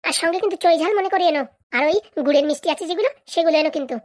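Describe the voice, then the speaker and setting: A woman's voice: extremely high pitch, very fast, darkish tone. A woman playing a thrifty, bossy, exasperated wife in a comedy cartoon. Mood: brisk, bossy. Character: thrifty, scolding wife refusing her husband money.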